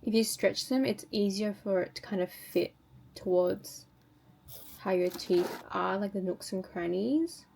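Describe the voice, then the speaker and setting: A woman's voice: medium pitch, unhurried, vocal fry, darkish tone, slight background noise. A woman chatting casually to viewers as a beauty vlogger, informally narrating each step of a routine. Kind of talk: casual tutorial chat to viewers.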